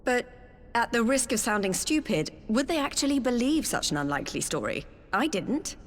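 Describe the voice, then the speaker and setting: Fantasy game heroine: doubting, frank, fairly high, very expressive, hall reverb. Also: female voice, moderate pace. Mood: doubtful. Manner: candid, questioning. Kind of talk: scripted fantasy video game acting.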